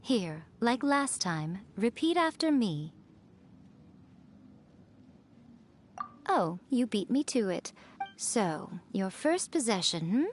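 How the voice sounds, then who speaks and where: A woman's voice: fairly high pitch, sing-song, unhurried, slight background noise. A woman voicing a sly, self-assured fox spirit priestess, teasing and poised, in an anime game. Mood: amused, coaxing.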